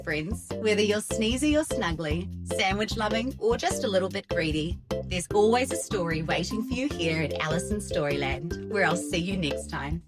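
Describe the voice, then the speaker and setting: A woman hosting an expressive read-aloud for young children, with dramatic, playful emphasis. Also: medium pitch. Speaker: storyteller and host for young children.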